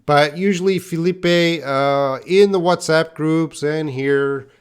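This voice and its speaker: A man's voice: on the high side, slow, smooth. A middle-aged man teaching a live online English class, answering learners' comments in a plain-spoken way and addressing them by name.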